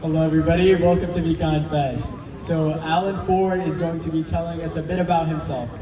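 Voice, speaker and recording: A man's voice: fairly high pitch, steady tone, fairly quick. A man hosting an interview at an outdoor festival, welcoming and upbeat like a presenter. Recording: echoey, distant mic with crowd noise.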